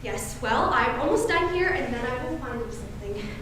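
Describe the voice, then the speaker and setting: Fussy genteel matron aunt, played by a young woman, American, coaxing, animated delivery, heard from far off in an echoey hall. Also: high voice, normal speed. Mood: fussy, distracted. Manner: sugary, mannered, coaxing, flustered exclamations. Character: fussy, genteel Southern matron aunt, cajoling and easily flustered. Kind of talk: high school stage play acting. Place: indoor school stage, filmed performance. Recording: echoey hall, distant camera, faint noise.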